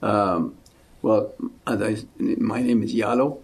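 A man in his seventies from Ethiopia, with an Ethiopian accent, giving an earnest, fervent faith testimony as an interview guest and often dropping to a soft hush. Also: fairly low pitch, slow pace, breathy edge. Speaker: guest, missionary.

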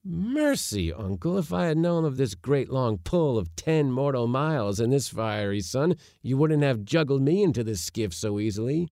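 A man's voice: mid-range voice, very animated, moderate pace, hardly any pauses, no room sound, clean studio sound, pitched above the performer's own voice, close to an everyday voice. A man voicing a boyish young nephew in a storybook reading, exclamatory, disdainful and complaining.

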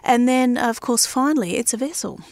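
A woman's voice: a little high, brisk, a little mellow, dry recording. A woman with an Australian accent, a winery brand ambassador, explaining wine chattily with many fillers on a podcast. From South Australia. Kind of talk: casual podcast chat.

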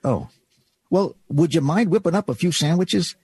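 Radio play role: folksy, good-hearted doorman, slightly high husky voice, lively swoops. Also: moderate pace, no echo, full character voice. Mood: eager. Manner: folksy, hesitant, reassuring. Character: kindly, folksy department store doorman. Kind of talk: old-time radio drama acting.

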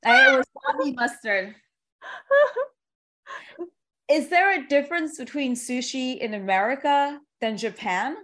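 A woman's voice: sits high, measured pace, long pauses, sharp tone. A woman hosting a casual interview, chatting in an informal, rambling and joking way. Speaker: interviewer, podcast host.

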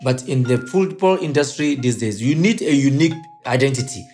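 A man's voice: mid-range voice, lively intonation, brisk, a little mellow, faint music behind. A middle-aged man with a Ghanaian accent, a football coach giving emphatic interview answers.

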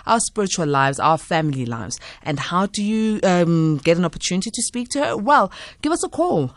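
A woman's voice: low-pitched, no echo. A woman hosting a late-night radio talk show, upbeat and reeling off call-in numbers. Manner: upbeat, reels off phone numbers. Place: radio studio.

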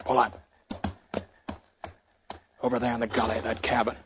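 An actor playing a calm, dry-spoken frontier gunman who narrates his own story in a 1950s radio drama. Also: medium pitch, normal speed, background noise, close to an everyday voice. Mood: quiet questioning. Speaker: lead narrator and hero. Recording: dull old radio broadcast with music.

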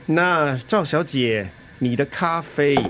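A man's voice: fairly high pitch, expressive, slow, background noise. An actor playing a breezy, caring middle-aged father and musician in a radio drama, flippant, with a Singaporean accent. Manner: flippant, bantering. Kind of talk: Mandarin radio drama acting.

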